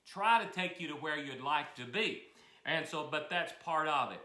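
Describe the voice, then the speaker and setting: High-voiced folksy older man in a roomy cabin. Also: expressive, normal speed, sharp tone. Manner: folksy, chatty, self-deprecating. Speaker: music teacher and host. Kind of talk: casual instructional chat. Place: mountain cabin room. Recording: roomy echo. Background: old piano.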